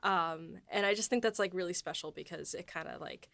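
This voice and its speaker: A woman's voice: lowish voice, brisk, creaky, fairly bright tone. A young woman hosting a podcast, chatting casually and asking questions, her speech full of fillers like "like" and "um.". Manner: filler-heavy, lots of "like" and "um". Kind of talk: casual chat.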